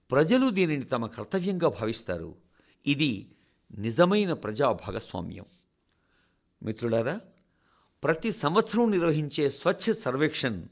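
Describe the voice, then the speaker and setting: Expressive Telugu man reading a radio address. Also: fairly high pitch. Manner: formal, deliberate phrasing with rhetorical pauses. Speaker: radio reader of a translated national address. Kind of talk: scripted address read aloud for radio.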